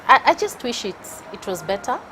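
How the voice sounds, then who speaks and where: A middle-aged Kenyan woman talks calmly as a studio guest, weighing her words and pausing often as she thinks. A faint hiss of noise sits under her voice, and her pitch is mid-range. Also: poor audio. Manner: thoughtful, measured, with frequent hesitations. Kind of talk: casual interview chat.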